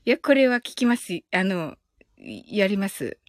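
A woman's voice: mid-range voice, big pitch swings, measured pace, no room sound, clean studio sound. A woman hosting a casual live audio stream, chatting politely with her listeners and often breaking into giggles.

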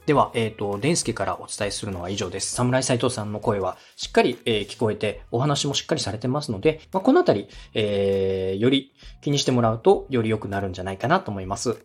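A man's voice: lowish voice, animated, fast, hardly any pauses, breathy edge, soft background music. A man hosting a podcast, explaining and giving advice in a polite, instructive way. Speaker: podcast host and radio director. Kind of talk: explanatory coaching talk on a podcast.

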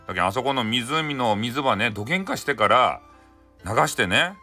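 A man voicing a caring, solemn old grandmother who speaks deliberately and emphatically in folksy Hakata dialect. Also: medium pitch, animated, bright, forceful tone, soft background music. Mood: encouraging.

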